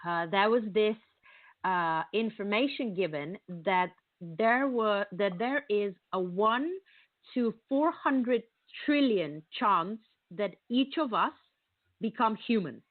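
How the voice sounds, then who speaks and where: A woman's voice: mid-range voice, lively intonation, takes it slowly. A woman with a Slovenian accent talks earnestly as an interview guest, stringing long thoughts together with many ums and uhs. Her voice comes through a thin, phone-like line. The sound is dry, with no room echo. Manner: earnest, explaining in long run-on sentences with many fillers. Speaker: guest, marketing coach. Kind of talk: conversational interview.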